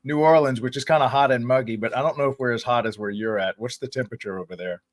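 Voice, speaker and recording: A man's voice: medium pitch, fast talker, no echo. Chatty man hosting a call-in interview. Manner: chatty, rambling, friendly questions. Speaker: podcast host. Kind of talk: casual interview. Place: home in New Orleans.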